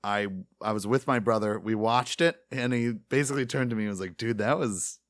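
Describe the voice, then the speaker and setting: A man's voice: mid-range voice, a little fast, fairly bright tone, no room sound, clean studio sound. A man chatting casually as a podcast co-host, informal and joking in a self-deprecating way. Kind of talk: casual panel chat about games and films.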